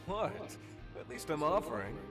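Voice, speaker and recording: A man's voice: fairly high pitch, very animated, moderate pace. An actor playing a jaded, sardonic young male superhero in a visual novel, with a dry, grumbling, sarcastic delivery. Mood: grudging. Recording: music playing loudly behind the voice.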